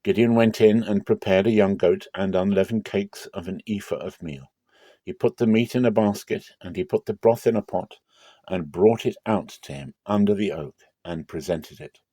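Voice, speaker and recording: Low, mellow man reading scripture dramatically. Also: normal speed, no room sound. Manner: solemn, theatrical storytelling. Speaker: narrator.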